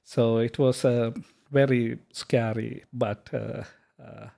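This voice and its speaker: A man, a software company founder talking casually in an interview, hesitant with many fillers and restarts. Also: medium pitch, level tone, slow pace, creaky. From Sri Lanka. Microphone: podcast microphone.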